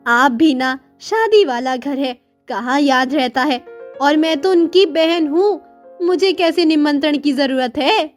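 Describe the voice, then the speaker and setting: Casting: female actor for meek, devoted poor sister, high-pitched, pleading, cartoon morality tale. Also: measured pace, faint music behind. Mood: happy, hopeful. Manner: earnest, pleading, deferential. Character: humble, devoted poor sister in old clothes. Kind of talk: Hindi moral-story cartoon dialogue.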